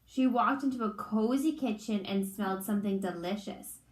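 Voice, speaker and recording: A woman's voice: mid-range voice, normal speed, reverberant, slight background noise. A woman reading a children's storybook aloud like a teacher, expressively and in simple phrasing for young listeners.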